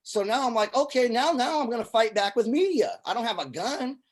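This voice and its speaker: A man's voice: extremely high pitch, animated, brisk, a crowd in the background. A middle-aged man from Syria, a film producer and army veteran, talking earnestly in a casual conversation and often repeating words as he starts a thought.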